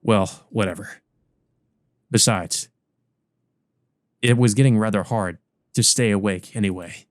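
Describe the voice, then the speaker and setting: A man's voice: fairly low pitch, a little slow, long pauses, dry recording, natural voice. A man playing a weary, wounded teenage boy who narrates his own visual novel story in the first person. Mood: subdued.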